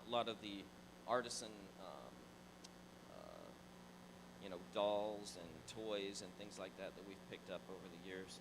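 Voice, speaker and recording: A man's voice: low-pitched, noisy background. A middle-aged man from Florida, a pastor telling a personal story to his church in a conversational, restarting way. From Tallahassee, Florida. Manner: conversational, repeats words and restarts, animated. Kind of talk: church talk, casual storytelling. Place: large church sanctuary. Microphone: handheld mic.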